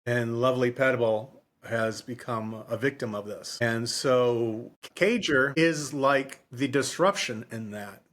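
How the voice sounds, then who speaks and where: A man's voice: medium pitch, takes it slowly, few pauses, fairly bright tone. A man co-hosting a podcast in a casual book discussion, rambling and often repeating himself. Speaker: podcast co-host.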